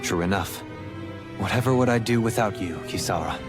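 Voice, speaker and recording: A thoughtful young nobleman voiced by a man speaks with earnest formality in a game cutscene. His voice sits on the low side, measured and dutiful, with clear music behind it. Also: natural voice. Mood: sincere, resolved. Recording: prominent background music.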